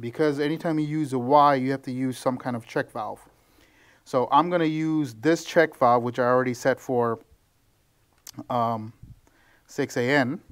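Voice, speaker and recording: A man in his thirties, a mechanic, giving a loose, conversational how-to walkthrough in a small office, filmed on a handheld camera close to his face, with lots of ums and restarts. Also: mid-range voice. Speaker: tuning shop mechanic. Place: small office with bare walls, indoors.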